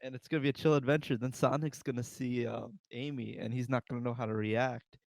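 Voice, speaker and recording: A man in casual podcast conversation, building his ideas in a halting way with restarts. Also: mid-range voice, fairly even intonation, vocal fry, dry recording, studio quality. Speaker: podcast co-host. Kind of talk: casual chat.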